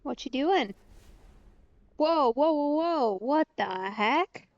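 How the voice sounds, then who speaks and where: A woman's voice: high-pitched, lively intonation, slow. A woman streaming a game live, reacting casually in a laid-back, colloquial way. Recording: dull, slightly lo-fi mic.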